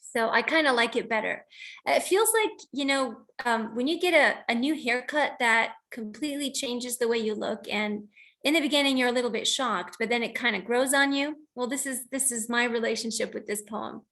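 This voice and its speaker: A woman's voice: fairly high pitch, normal speed, fairly bright tone. A woman from the United States hosting an online poetry reading, introducing and reading her own work in an informal, reflective, explanatory way. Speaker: host of a poetry reading and poet. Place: online video call.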